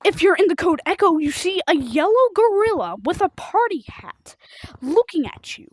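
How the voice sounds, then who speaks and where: Female game livestreamer, high-pitched and animated, informal rambling chat, excitable storytelling, dry sound. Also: moderate pace, no echo. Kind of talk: rambling casual livestream chat while gaming.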